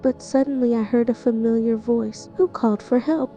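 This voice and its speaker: A woman narrating a first-person horror story in a tense, even manner. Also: on the high side, moderate pace, faint music behind, rough recording. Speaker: narrator playing the listener's character.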